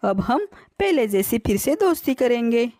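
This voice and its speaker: A woman's voice: fairly high pitch, big pitch swings, a little slow, warm tone, dry recording. A woman voicing an earnest, friendly little female bird in a children's cartoon story. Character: trusting little female sparrow, friendly but determined.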